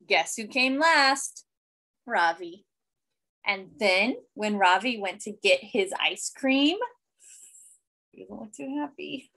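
A woman in her thirties reading a children's picture book aloud expressively, with deliberate, simple phrasing and emphasis. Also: on the high side, a bit bright. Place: small indoor room.

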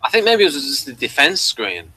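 A man's voice: mid-range voice, big pitch swings, brisk, sharp tone, slightly rough. A man co-hosting a casual chat, hesitant and filling his sentences with uhs. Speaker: podcast co-host.

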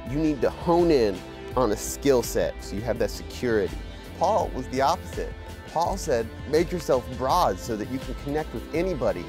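An eager young man in his twenties talks in an earnest, casual way at a middle pitch. A music track plays under him throughout. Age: young adult. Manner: earnest, eager, quick questions. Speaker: roadtripper. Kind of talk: casual reflective chat.

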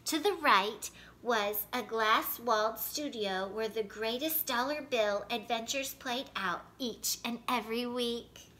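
Woman, children's storyteller, high pitch, slow pace, animated read-aloud. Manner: animated, clearly paced storytelling. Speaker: storyteller reading picture books. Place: indoor living room by a fireplace.

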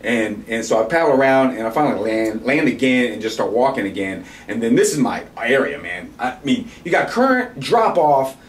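Excitable man telling stories in a roomy studio. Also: mid-range voice, moderate pace, few pauses. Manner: animated, excitable, swears freely, many restarts. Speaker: podcast host. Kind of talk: casual chat, storytelling. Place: indoor studio with camo backdrop, at a table. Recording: roomy and distant with faint noise.

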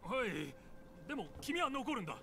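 An officious young male guard in a fantasy video game. His voice is high for a man and moves around a lot as he gives formal instructions. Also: big pitch swings, normal speed, creaky, bright, forceful tone, slight background noise, heavily acted. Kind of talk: dubbed video game dialogue.

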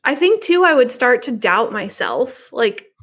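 Middle-aged woman, slightly high voice, informal podcast guest, casual video-call chat. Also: big pitch swings, unhurried, fairly bright tone, breathy edge. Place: living room at home.

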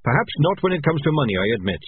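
A man voicing a kindly, thoughtful young male rat who speaks gently and earnestly, heard through lo-fi phone-like audio. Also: fairly high pitch, very animated, fast, slight background noise, voice pushed up. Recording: lo-fi phone-like sound.

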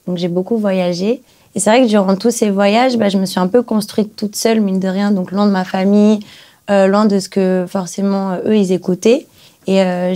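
A young woman from Brazil, a native Portuguese speaker, chatting as a guest singer in a small music studio, relaxed, with many pauses. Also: medium pitch. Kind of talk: casual interview chat. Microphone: studio mic on stand.

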